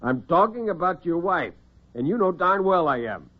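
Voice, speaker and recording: A man's voice: high voice, big pitch swings, moderate pace, pitched above the performer's own voice. A man voicing a blustering, impatient policeman who bullies and accuses, in classic radio drama style. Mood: accusing, exasperated. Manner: bullying, exasperated. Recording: narrow-band vintage radio recording.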